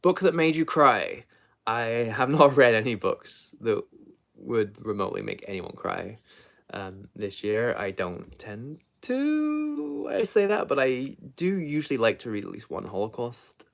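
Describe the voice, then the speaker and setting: Man with very lively, swooping intonation. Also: medium pitch, unhurried, gravelly. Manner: hesitant, trailing off and rephrasing mid-thought. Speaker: book reviewer hosting a live video. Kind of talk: casual rambling chat to viewers.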